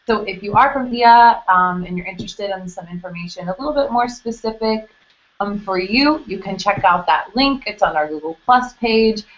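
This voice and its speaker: A woman's voice: medium pitch, normal speed, a little hiss or hum. A young woman hosting a live webcast information session for an admissions office. She talks casually and explains things step by step, with plenty of fillers. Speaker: international admissions staff host. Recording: dull lo-fi webcast audio.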